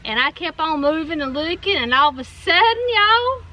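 A woman in her forties with a Southern US accent, telling stories in an animated, chatty way to viewers outdoors in a golf cart. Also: high voice, moderate pace. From North Carolina. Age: 40s. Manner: animated storyteller, addresses viewers as y'all. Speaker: co-host. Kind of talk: casual storytelling chat. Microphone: fixed camera in front.

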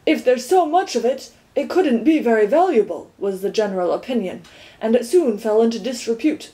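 A woman's voice: fairly high pitch, expressive, moderate pace, mellow, lightly acted. A woman doing a proud, grandiose watchdog. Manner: grand, declaiming, carried away. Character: earnest male watchdog, proud and sentimental. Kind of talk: audiobook character voice.

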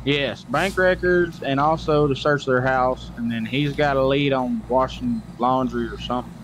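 A man's voice: medium pitch, steady tone, normal speed. A man chatting in a folksy, colloquial way while playing a police roleplay game. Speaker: roleplay gamer playing a lawman. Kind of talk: casual in-character game banter. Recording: noisy, game vehicle ambience.

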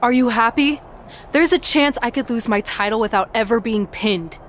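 A woman's voice: on the high side, normal speed, a bit bright, slight background noise, natural voice. An actress playing a determined woman wrestling champion in a video game, earnest and assertive. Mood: confrontational. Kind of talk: scripted video game cutscene acting.

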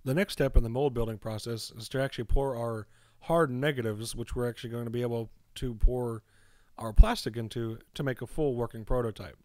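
A man's voice: mid-range voice, fairly even intonation, a little fast, darkish tone, dry recording. A young man who makes fishing lures explains mold making step by step in a voice-over, often saying "actually". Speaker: lure maker. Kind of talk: demonstration voice-over.

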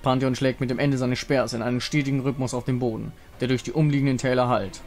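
A man's voice: medium pitch, level tone, quick pace, darkish tone. A man narrating a fantasy game story in a dramatic scripted style. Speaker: narrator reading visual novel dialogue. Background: music.

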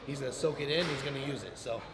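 A young man coaching a leg workout in a large indoor gym, explaining technique in a quick, encouraging way. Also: medium pitch. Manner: encouraging, instructive, quick-talking. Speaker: bodybuilding coach. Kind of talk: casual gym coaching talk. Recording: gym ambience noise behind.

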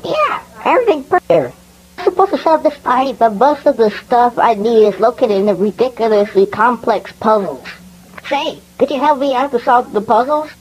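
A woman's voice: a little high, lively intonation, normal speed, slight background noise, natural voice, rough recording. A woman voicing a chirpy cartoon clown girl in a game, busy and organizing. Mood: cheerful.

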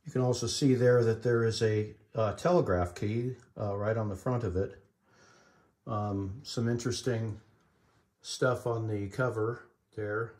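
Middle-aged male collector for an informal demo video, halting and matter-of-fact delivery, recorded indoors. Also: on the low side, steady tone, a little mellow. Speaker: collector demonstrating vintage equipment. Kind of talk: informal demonstration narration. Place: indoors in a room at home.

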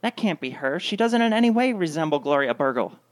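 Jaded girlfriend, actress, deadpan and cutting, recorded close and dry, comic radio play. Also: deep voice, a little fast, dry recording. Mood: sarcastic. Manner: deadpan, sarcastic. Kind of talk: comedic radio play acting.

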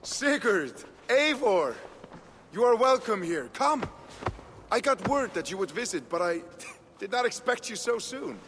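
A man's voice: sits high, very animated, unhurried, fairly bright tone, heavily acted. An actor playing a commanding, hospitable young Norse king in a game, with hearty, declamatory delivery. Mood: hearty welcome. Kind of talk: video game cutscene acting. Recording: long hall reverb, faint noise.

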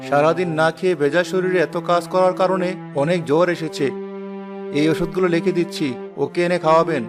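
Guy playing a cartoon doctor, slightly high voice, matter of fact, music clearly behind. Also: fairly even intonation, a little fast, natural voice. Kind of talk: cartoon dub acting.

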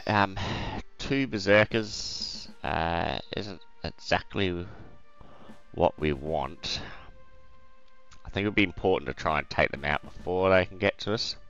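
A man with a croaky voice hosting a let's play, casually thinking aloud over a strategy game with lots of ums. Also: low-pitched, measured pace, fairly bright tone, lo-fi sound, faint music behind.

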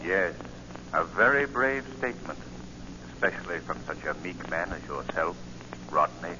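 An actor playing a menacing, mocking invisible crime-fighter, slow and deliberate, in 1940s radio style. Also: male voice, low voice, expressive, noisy background. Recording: muffled 1940 radio recording.

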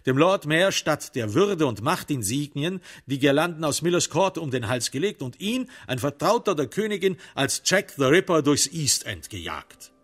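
A man's voice: medium pitch, a bit bright. A man narrating a literary crime radio play in a sardonic, theatrical storytelling manner.

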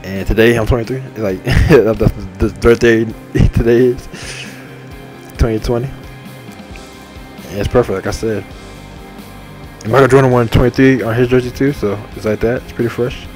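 A man in his twenties talking casually and repetitively over a game, enthusiastic and full of shout-outs. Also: medium pitch, fairly even intonation, moderate pace. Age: 20s. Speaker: gaming channel host. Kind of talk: rambling casual monologue over game menu. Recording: faint game music behind. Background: faint game menu music.

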